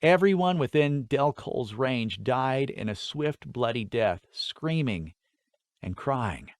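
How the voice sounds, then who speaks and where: Male narrator, mid-pitched, dramatic, deliberate phrasing, scripted fantasy storytelling, dry close recording. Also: expressive, no echo. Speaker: audiobook narrator.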